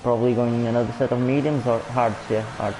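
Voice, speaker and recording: A man's voice: mid-range voice, little pitch movement, brisk. Man, Portuguese accent, live sim racing commentary, chatty, non-native English, noisy stream with car sounds. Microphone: home streaming mic.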